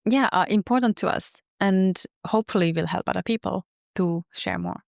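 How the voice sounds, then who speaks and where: A woman with a Finnish accent, a podcast guest speaking in an earnest, measured way. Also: medium pitch, creaky, no echo, clean studio sound. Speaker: podcast guest, health coach. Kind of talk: reflective interview talk.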